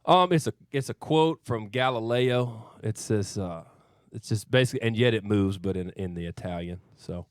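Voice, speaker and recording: A man's voice: on the low side, moderate pace, no room sound, clean studio sound. A man in his thirties from Arkansas, a country singer answering interview questions in a modest, thoughtful way. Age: 30s. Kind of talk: casual studio interview. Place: radio studio. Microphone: studio mic.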